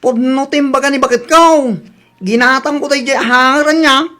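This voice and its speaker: Casting: woman as worried, loyal comedy husband; notably high pitch, earnest protesting delivery, radio drama. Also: unhurried, a bit bright. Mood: defensive.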